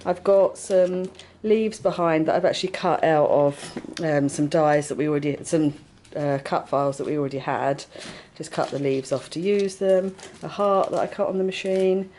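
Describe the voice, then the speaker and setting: Brief: English woman, fairly low voice, upbeat craft vlogger, faint hiss behind. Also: normal speed, mellow. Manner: chatty, upbeat, lots of fillers. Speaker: vlogger, craft shop owner. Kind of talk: casual vlog chat. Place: craft studio and shops.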